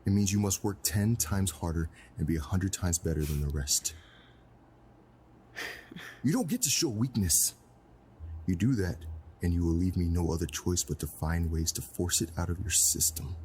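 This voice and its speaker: A middle-aged man acting as a cold, domineering crime boss and stern father, speaking formally and deliberately with menace in a dramatic scene. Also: low-pitched, a little mellow. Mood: stern, menacing. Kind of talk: dramatic film-style acting. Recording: clearly noisy background ambience.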